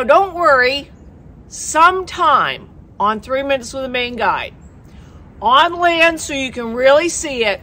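A woman's voice: high voice, bright, forceful tone. A woman canoe guide and show host, talking outdoors in an emphatic, folksy way that keeps checking in with "okay?". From Maine. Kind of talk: outdoor instructional talk to camera. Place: outdoors by the water.

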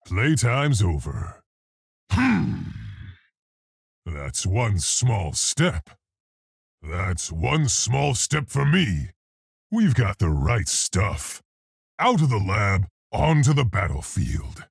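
Big nerdy gorilla hero for a video game, rough raspy voice, polite n earnest, super dry studio sound. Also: male voice, fairly low pitch, very animated, no room sound, full character voice. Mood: confident, earnest. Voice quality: gravelly, husky. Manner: deliberate, precise, scholarly, hesitant. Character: big genteel scientist gorilla hero, earnest, bookish, awkward. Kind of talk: video game character voice lines.

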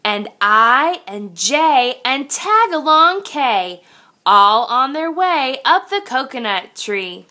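A woman reads a children's picture book aloud at a very slow, deliberate pace. Her voice is high and lively, swinging up and down in an expressive sing-song way, like a teacher speaking to little kids.

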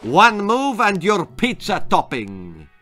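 A man voicing a menacing Italian gangster posing as a pizza delivery man in a storybook, gruff and barking threats. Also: sits high, wildly swooping pitch, takes it slowly, pushed and bright, faint music behind, voice pushed up, full character voice.

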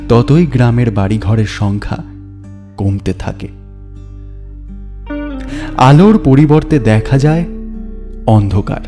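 A man narrating a story in a measured, atmospheric, scripted style with dramatic pauses. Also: mid-range voice, over music.

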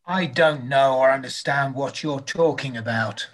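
A man's voice: medium pitch, measured pace, natural voice. A man playing a defensive disciple who denies everything, in an amateur dramatized scripture reading. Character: Peter, defensive adult male disciple denying everything.

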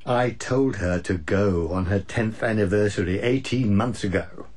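A man's voice: fairly low pitch, unhurried, a little mellow, natural voice. An actor playing a blunt, weary older English husband and father in a radio drama, with a wry, old-fashioned turn of phrase. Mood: weary, fond. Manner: wry, swearing, old-fashioned upper-middle-class turns of phrase.

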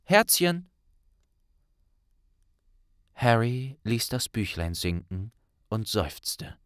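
A man's voice: lowish voice, lively intonation, unhurried, a bit bright, no room sound, studio quality. A man narrating a fantasy audiobook in a dramatic, scripted storytelling style.

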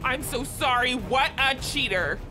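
A woman's voice: high-pitched, measured pace. A woman playing a gossiping young friend in a roleplay story, gushing and dramatic. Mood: scandalized. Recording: music playing behind the voice.

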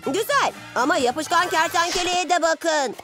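A woman's voice: extremely high pitch, moderate pace. A woman voicing a good-natured, playful six-year-old boy superhero, eager and earnest, in a children's cartoon. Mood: competitive. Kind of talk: animated children's cartoon dub. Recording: music behind the voice.

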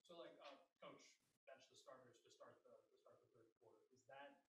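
A male reporter asks questions from across an echoey press room, his voice distant from the mic with a faint hiss under it. He phrases his questions haltingly and often starts over. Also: mid-range voice, fairly bright tone.

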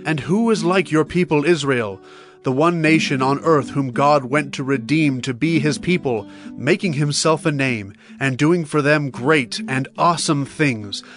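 A man's voice: a little high, measured pace, faint music behind, close to an everyday voice. A man playing a devout, earnest king of Israel in a dramatized scripture reading. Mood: reverent. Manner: earnest, declarative.

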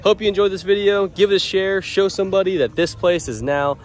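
A man's voice: sits high, brisk. Upbeat young man vlogging outdoors. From Louisiana. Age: young adult. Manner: upbeat, addresses viewers directly. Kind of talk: casual walkthrough vlog. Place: outdoors by a waterside dock, then inside a small shop. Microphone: handheld camera held close.

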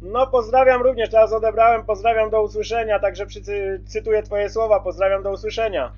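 A man's voice: high-pitched, fairly even intonation, fairly quick, lo-fi sound, slight background noise. A man talking casually as a CB radio operator, repeating himself as he checks and confirms reception. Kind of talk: casual radio contact talk.

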